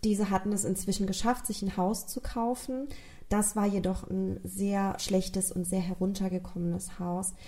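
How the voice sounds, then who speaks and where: A woman's voice: medium pitch, flat delivery, moderate pace, mellow. A woman from Rhineland-Palatinate with a regional German accent, earnestly retelling a true crime case as a podcast host and speaking directly to her listeners.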